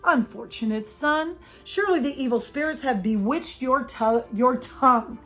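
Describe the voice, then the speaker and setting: A woman's voice: sits high, animated, normal speed, a bit bright, faint music behind. A woman voicing a stern, impatient mother who scolds her son.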